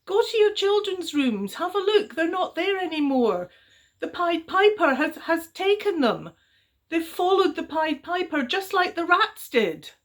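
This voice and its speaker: Woman doing a shrill, urgent little girl. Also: big pitch swings, moderate pace, hardly any pauses. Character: brave little village girl with sore leg.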